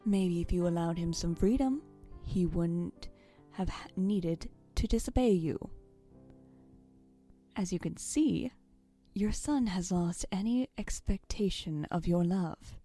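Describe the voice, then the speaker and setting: A woman playing a stern, sharp-tongued Ghost of Christmas Present in an audio drama, with a formal, scolding way of talking. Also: on the low side, takes it slowly, faint music behind. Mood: reproachful. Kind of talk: fan audio drama acting.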